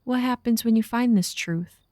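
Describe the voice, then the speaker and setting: A woman's voice: a little high, moderate pace, a little mellow, no echo, lightly acted. Woman voicing a young alien woman, earnest, wistful, sci-fi audio drama dialogue, close dry sound. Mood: sad, hesitant.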